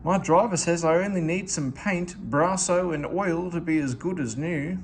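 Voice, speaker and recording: A man voicing a sad, proud old traction engine, speaking in a wistful, gentle way, for a read-aloud storybook. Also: a little high, moderate pace, slight background noise, pitched above the performer's own voice, close to an everyday voice.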